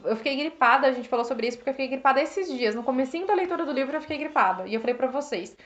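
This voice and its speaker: Brazilian woman going live, high voice, kinda hoarse, lots of restarts, roomy muffled sound. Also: animated, rapid-fire. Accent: Brazilian Portuguese. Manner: rambling with many restarts, thanks viewers by name. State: slightly hoarse from a cold. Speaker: host reading and reflecting on a self-help book. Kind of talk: casual live-stream reflection. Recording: roomy, dull lo-fi live stream.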